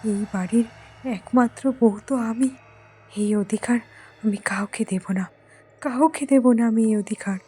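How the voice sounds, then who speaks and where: A woman voicing a vengeful, possessive ghost of a dead bride in a horror cartoon, halting and repeating her threats in a breathy voice. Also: a little high, warm tone. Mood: menacing, bitter.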